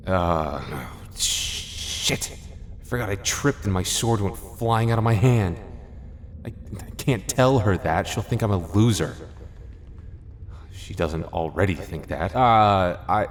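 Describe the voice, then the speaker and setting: A man playing a timid, soft-hearted young knight in an ASMR fantasy roleplay, stammering nervously and putting himself down. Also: low voice, measured pace, slight background noise. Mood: flustered, eager. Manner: stammering, self-deprecating, nervous.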